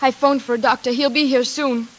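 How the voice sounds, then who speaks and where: A woman playing a frightened, distraught young daughter in a 1940s radio thriller, with breathy, dramatic delivery. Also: high voice, fairly even intonation, a little fast, natural voice. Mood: pleading. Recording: vintage radio recording over rain and music.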